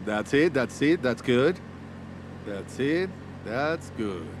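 An actor playing an excitable driving instructor who coaxes and then yells at his student, with music underneath. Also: medium pitch, takes it slowly, noisy background. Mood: coaxing. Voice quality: shouting, exasperated. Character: excitable male driving instructor, quick-tempered.

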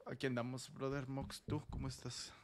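A man's voice: mid-range voice, monotone, moderate pace. A man with a Mexican accent chatting casually as he streams a game. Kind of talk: casual chat while gaming. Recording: clearly noisy mic with clicks.

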